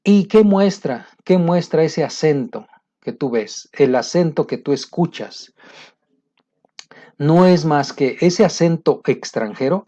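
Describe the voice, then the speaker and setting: A middle-aged Latin American man giving an encouraging English lesson in a casual talking style, pausing often with fillers. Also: fairly high pitch, lively intonation, dark tone. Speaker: English teacher. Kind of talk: motivational video lesson. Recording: muffled lo-fi recording.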